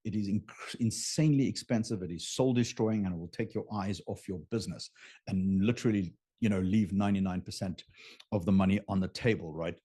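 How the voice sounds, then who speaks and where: A man whose native language is German, with a husky voice, teaching business in an informal, emphatic, unscripted way and often checking in with the listener. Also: deep voice, brisk, warm tone. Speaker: business coach hosting a live session. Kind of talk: unscripted teaching talk with drawn illustrations.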